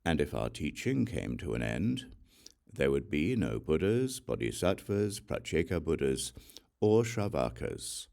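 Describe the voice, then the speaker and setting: Super deep gravelly guy doing a solemn audiobook read of scripture, slow deliberate phrasing. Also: big pitch swings, darkish tone. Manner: measured, deliberate delivery. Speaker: audiobook reader.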